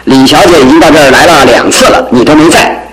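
A man's voice: fairly high pitch, fast, a loud crowd, close to an everyday voice, poor audio. A man acting in a radio drama as an impatient but loyal friend, speaking in exclamations. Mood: admiring.

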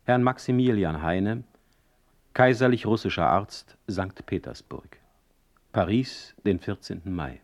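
An actor playing a witty, ailing exiled poet in a German radio play, with a mocking, eloquent and sharp-tongued manner. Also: male voice, on the low side, animated, measured pace. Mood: dry, wry. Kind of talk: German radio play acting and letter narration.

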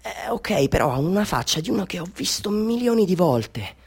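An actor playing an excitable, self-doubting amateur photographer telling his story in a theatrical radio monologue, moving between confiding and fervent delivery. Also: high voice, moderate pace, husky, heavily acted. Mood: puzzled, agitated.

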